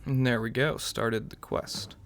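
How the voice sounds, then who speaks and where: A man's voice: mid-range voice, steady tone, measured pace, fairly bright tone, slight background noise. A man giving offhand let's-play commentary as a gaming channel host, musing aloud as he roleplays.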